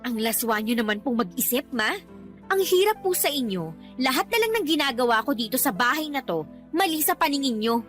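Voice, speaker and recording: Young filipino girlfriend in radio drama, super high voice, defiant n complaining, faint music behind. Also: expressive, fairly quick, a bit bright. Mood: exasperated. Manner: argumentative, exasperated, complaining. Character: young live-in girlfriend, defiant, aggrieved, answering back.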